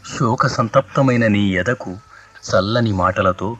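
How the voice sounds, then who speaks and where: A man's voice: on the low side, measured pace, fairly bright tone, muffled recording, slight background noise. An actor playing a noble, compassionate, sorrowful god-king in a 1980s radio mythological play, stately and earnest in delivery. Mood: solemn consoling.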